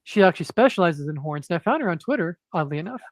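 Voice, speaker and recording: A man speaking as a guest comic book creator in a casual interview, monotone and hesitant, with many fillers and restarts. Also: fairly high pitch, fast talker, no room sound.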